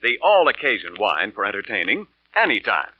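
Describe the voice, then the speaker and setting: A man's voice: lowish voice, sing-song, fairly quick, no room sound. A man announcing for a 1940s radio show, delivering scripted sponsor copy with polished, emphatic salesmanship. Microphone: studio radio microphone. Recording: muffled old radio broadcast, faint music.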